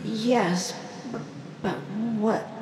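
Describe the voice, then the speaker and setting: A frightened, timid mother, performed by a man, speaks in a high, gasping, whispered cry. Her words come slowly and haltingly, full of anguish, in a horror audio drama with clear background noise. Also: steady tone, full character voice. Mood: hesitant fear. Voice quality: breathy, whispered yelling. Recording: echoey, noisy ambience.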